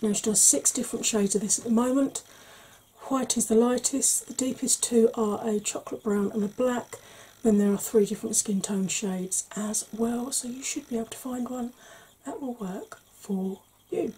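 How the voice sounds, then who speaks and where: A woman in her forties, a makeup vlogger, talking through an ASMR makeup tutorial slowly and gently in a soft, breathy voice that often drops to a whisper, recorded at home. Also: a little high, slight background noise, low-quality recording. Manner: slow, gentle, patient explaining. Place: small home room.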